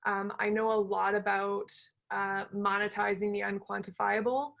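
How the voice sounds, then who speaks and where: A woman hosting an informal panel, talking in a halting, rambling way as she thinks aloud. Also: medium pitch, little pitch movement, gravelly, highs cut off. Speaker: panel host, entrepreneur.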